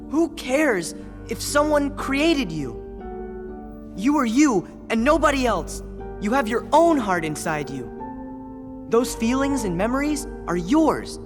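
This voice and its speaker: An actor playing an earnest, warm-hearted teenage boy hero in a video game, speaking with emphatic feeling. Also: very high-pitched, big pitch swings, takes it slowly, long pauses, exaggerated voice. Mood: passionate reassurance. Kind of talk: dramatic video game voice acting. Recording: long reverb, faint music behind.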